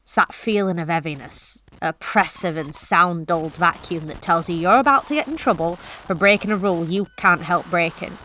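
An actress playing a delivery driver who tells a horror story in the first person, wry and confiding. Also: female voice, lowish voice, moderate pace, few pauses, sharp tone, slight background noise, poor audio. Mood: uneasy. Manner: wry, confiding, self-deprecating. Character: wry, uneasy woman courier.

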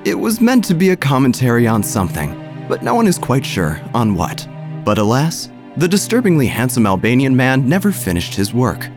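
A man's voice: medium pitch, wildly swooping pitch, moderate pace. A man playing a droll, gossipy small-town church narrator, telling a scripted comic story with wry, theatrical phrasing. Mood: wry, storytelling. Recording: music under the voice.

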